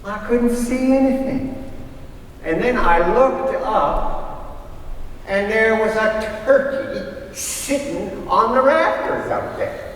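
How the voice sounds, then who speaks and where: A man telling a folksy story on stage with colloquial grammar, halting and animated. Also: high voice. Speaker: readers theater storyteller. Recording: distant echoey hall with faint noise.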